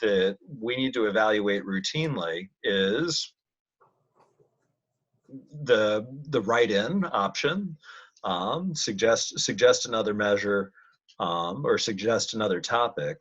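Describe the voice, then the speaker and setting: Male meeting leader, unhurried and halting, informal presenting style, muffled conference-call sound. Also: fairly low pitch, lively intonation, fairly bright tone. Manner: halting, thinking aloud. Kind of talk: casual work conference call. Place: online video conference call.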